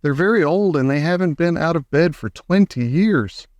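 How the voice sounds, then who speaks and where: A man's voice: fairly high pitch, animated, normal speed, a little mellow, dry recording, pitched above the performer's own voice, natural voice. A man voicing a sweet, wide-eyed little boy in a storybook, earnest and exclamatory. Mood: worried, earnest.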